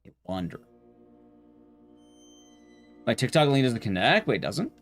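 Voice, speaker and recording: A man's voice: medium pitch, big pitch swings, unhurried, faint music behind. A man streaming a game, chatting casually in a rambling, blunt, conversational way with his viewers. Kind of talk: casual live-stream chat while gaming.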